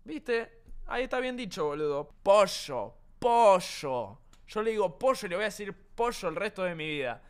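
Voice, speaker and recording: Young Argentine man, high-pitched, brash and foul-mouthed, casual stream chat from a home room. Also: very animated, moderate pace, clean tone. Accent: Argentine Spanish. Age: young adult. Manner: brash, swears a lot, joking.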